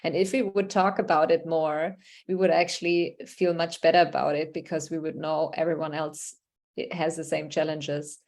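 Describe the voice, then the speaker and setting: A woman speaks over a video call, running an online workshop. Her voice sits on the lower side, and her delivery is conversational as she reads participants' answers aloud and responds to them. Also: little pitch movement, moderate pace, darkish tone. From Germany. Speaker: workshop facilitator.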